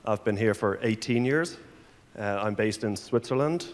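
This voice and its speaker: Low-voiced Irish man on a panel. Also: normal speed. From Ireland. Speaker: panelist, senior director. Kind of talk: conference panel introduction. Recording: long hall reverb through PA.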